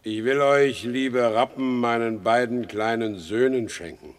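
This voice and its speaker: A man's voice: medium pitch, unhurried, lightly acted. Subdued condemned horse dealer, acted by a man. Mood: resigned, tender. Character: humble, resigned condemned horse dealer, a father. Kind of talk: German radio play acting.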